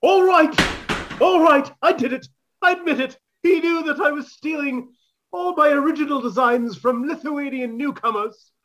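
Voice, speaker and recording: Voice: sits high, normal speed, a bit bright, slight background noise. An actor playing a defensive, melodramatic murder suspect, theatrical and indignant, in an old-time radio mystery parody. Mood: defiant confession. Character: defensive, melodramatic party guest, secretly a murderer.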